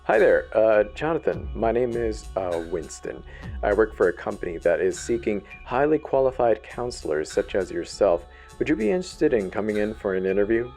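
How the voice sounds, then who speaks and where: A man voicing a huge, friendly male recruiter with a deep voice and a polite, hesitant manner, in a horror story. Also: sing-song, moderate pace, darkish tone. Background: music.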